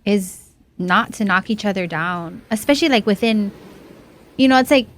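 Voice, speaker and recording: A woman's voice: mid-range voice, moderate pace. A woman from O'ahu, a small business owner talking as a guest in a casual interview, chatty and filling her talk with "like" and "you know". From O'ahu, Hawaii.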